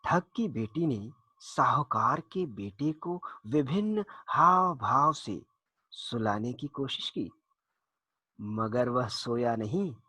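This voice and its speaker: A man narrating a folktale for children in an expressive, deliberate storytelling manner with dramatic pauses. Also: mid-range voice, breathy edge, no room sound.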